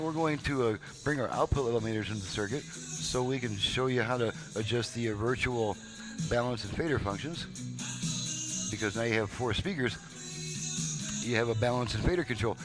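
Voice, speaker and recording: A man giving a casual, folksy, step-by-step demonstration as a radio repair technician, explaining things off the cuff. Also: medium pitch. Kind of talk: casual unscripted product demonstration. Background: radio audio playing behind the voice.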